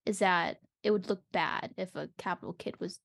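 A woman's voice: lowish voice, moderate pace, gravelly. A woman co-hosting a podcast, talking casually through a book with others. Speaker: podcast co-host.

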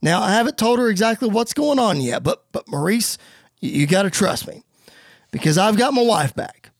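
A man's voice: high-pitched, sing-song, normal speed, dry recording, studio quality, exaggerated voice. A man playing a smug, showy wrestling villain giving a pompous, theatrical speech to the crowd in a wrestling story. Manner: pompous, theatrical promo delivery.

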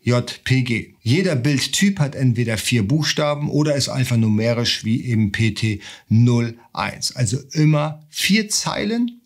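Gravelly-voiced man explaining a tutorial. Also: mid-range voice, animated, a little slow. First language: German. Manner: explanatory, rhetorical questions. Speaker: online seller tutor. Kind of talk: screen-share tutorial.

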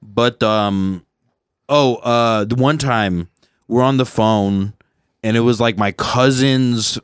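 Deep-voiced rambling young man. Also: slow pace, smooth, no room sound, clean studio sound. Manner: rambling, self-deprecating, swears casually. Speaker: podcast host, stand-up comedian. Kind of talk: casual podcast chat.

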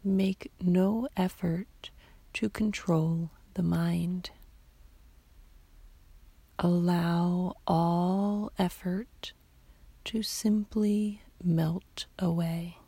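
A woman guiding a sleep meditation in a soft, half-whispered, soothing voice, leaving long pauses between short phrases. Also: on the low side, steady tone, slightly rough, no echo. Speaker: meditation guide.

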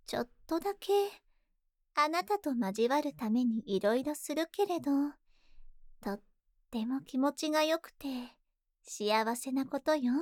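A woman's voice: high voice, no echo, studio quality. A woman playing a seductive, possessive spider monster in a Japanese ASMR voice drama, tender and coaxing, lingering on her words. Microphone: close ASMR microphone in a dry studio.

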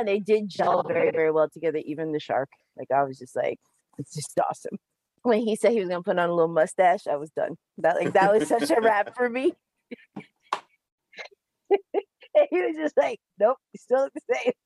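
Podcast co-host, woman, low-ish voice, animated, casual and profane. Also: moderate pace, dry recording. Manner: animated, profane, laughs often. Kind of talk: casual movie chat.

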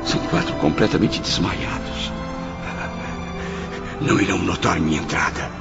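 Anguished, creaky-voiced spirit man. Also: low-pitched, normal speed. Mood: exhausted, despairing. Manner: formal diction, dramatic, lamenting. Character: anguished, weakened spirit of a suicide, gentleman in tailcoat. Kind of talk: theatrical radio drama acting. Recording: muffled radio drama with loud music.

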